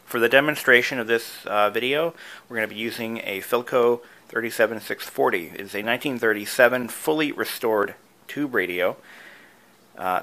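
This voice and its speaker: A man with a fairly low-pitched voice narrates a hobbyist how-to. He talks informally, like a host walking viewers through a project. His explanations are plain and conversational, with casual asides along the way. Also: pushed and bright. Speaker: video creator and host, antique radio hobbyist.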